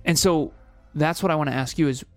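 A man's voice: on the high side, a little fast, darkish tone, no room sound, faint music behind. A man co-hosting a podcast, musing aloud in casual chat with frequent restarts. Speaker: podcast co-host.

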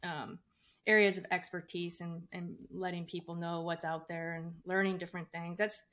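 A woman hosting a podcast interview, chatty and quick to laugh as she asks her guest about himself. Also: fairly low pitch, little pitch movement, fairly bright tone. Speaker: podcast host. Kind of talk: casual interview.

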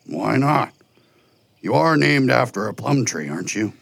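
Huge jovial furry creature, audio drama: slow, deeply creaky delivery, swooping playfully. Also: male voice, sing-song, a bit bright, no echo, full character voice. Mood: teasing. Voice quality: gravelly, husky. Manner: unhurried, booming, playfully teasing. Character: huge furry beast, teasing, jovial council caretaker.

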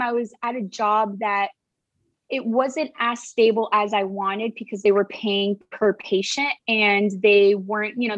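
A woman's voice: fairly high pitch, a bit bright, no room sound, muffled recording. A woman in her thirties from Venezuela, a physical therapist talking through a video call in a chatty way, full of fillers and restarts. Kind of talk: casual video-call interview.

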